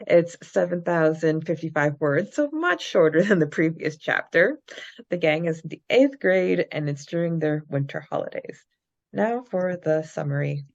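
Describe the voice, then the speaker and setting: A woman's voice: low voice, animated, normal speed, vocal fry, dull audio. A young adult woman co-hosting a fan podcast in a casual, chatty style. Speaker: podcast co-host.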